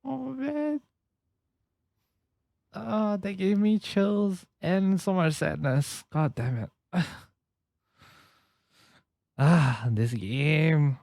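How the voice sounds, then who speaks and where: A man's voice: high voice, extremely slow, gravelly, long pauses, darkish tone, no echo. A man livestreaming a game with casual, emotional, apologetic reactions, speaking close to the mic.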